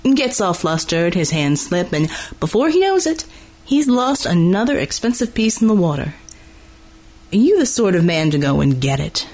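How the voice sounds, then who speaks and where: A coolly condescending military man played by a man, husky and brusque. He speaks with clipped authority and never seems ruffled, as a character in an audiobook story. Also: high-pitched, very animated, normal speed, darkish tone, full character voice. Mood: needling.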